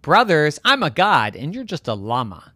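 A man voicing a big, booming god in a storybook tale, dismissive and mocking in manner. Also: sing-song, normal speed, fairly bright tone, no room sound. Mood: indignant. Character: big, loud, scornful male thunder god.

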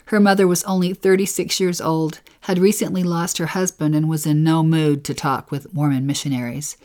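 Gentle woman teaching about faith. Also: low voice, steady tone, normal speed. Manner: earnest, gentle, lingers on key phrases. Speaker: scripture lesson co-teacher. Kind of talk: devotional teaching.

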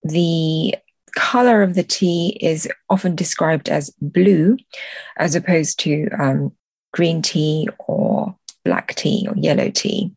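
A woman explaining things as an interview guest, thoughtful and measured, pausing between phrases. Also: low-pitched, vocal fry, darkish tone, husky, no room sound. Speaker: podcast guest, translator.

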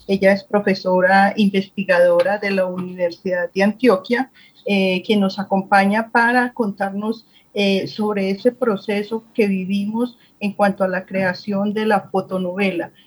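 A woman with a Colombian accent and a slightly husky voice, hosting an interview and introducing a guest. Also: medium pitch, flat delivery, normal speed, low-quality recording. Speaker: host, interviewer.

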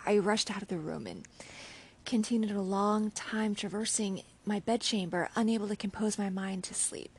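A woman reading a gothic novel aloud as a dramatic narrator, with an intense, theatrical and often hushed, breathy delivery. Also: rough recording. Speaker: audiobook reader. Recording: rumbly background noise.

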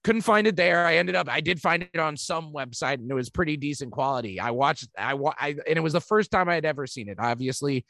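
Gravelly higher-voiced man, casual. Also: quick pace, hardly any pauses, a bit bright, no room sound, clean studio sound. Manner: rambling, swears, many "like" fillers. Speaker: podcast co-host. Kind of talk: casual movie chat.

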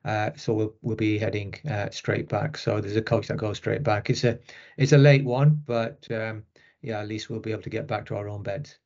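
Northern English man, flat even delivery. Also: on the low side, moderate pace, muffled recording. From Rochdale, northern England. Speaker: interview guest, sports medicine consultant. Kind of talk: conversational interview answers.

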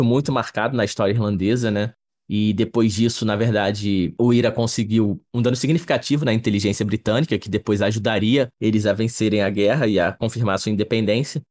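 A young man co-hosting a podcast, explaining sports history in a relaxed, chatty way, often adding little tag questions. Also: medium pitch. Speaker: podcast co-host. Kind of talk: casual explanatory chat.

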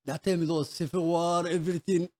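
A man from Somalia, around his thirties, with a Somali accent, telling his story casually as an interview guest in halting, repetitive non-native English. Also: on the high side, flat delivery, a little mellow.

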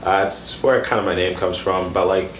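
A man's voice: sits low, fairly even intonation, moderate pace, fairly bright tone. A man in his twenties from Sudan and Kenya, chatting informally as an interview guest and telling personal stories in casual, slangy speech. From born in Sudan, raised in Kenya. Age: 20s. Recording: echoey room with some background noise.

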